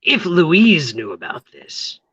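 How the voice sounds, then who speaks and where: A woman's voice: low voice, wildly swooping pitch, slow pace, a bit bright, breathy edge, no room sound, highs cut off, exaggerated voice. A woman playing a flustered, love-hungry neighbour of forty-two in a play, hesitant and theatrically torn. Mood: taken aback. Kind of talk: play table read.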